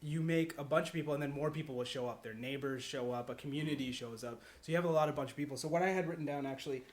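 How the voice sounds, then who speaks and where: A man's voice: mid-range voice, very fast. A man chatting casually, explaining his idea and checking in with his listeners. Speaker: podcast panelist pitching a movie idea. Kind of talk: casual chat.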